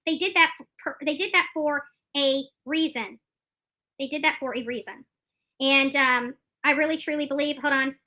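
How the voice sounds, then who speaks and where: A woman giving live, opinionated legal commentary, emphatic and halting, repeating phrases for stress and often restarting her sentences. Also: sits high, sharp tone. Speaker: streamer commenting on court news.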